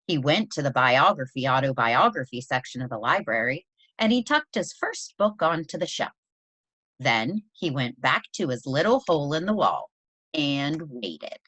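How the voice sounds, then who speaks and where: A woman reading a children's story aloud in an expressive storytelling style, with deliberate, emphatic phrasing. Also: low-pitched, breathy edge, no room sound, rough recording. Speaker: presenter reading a children's picture book aloud.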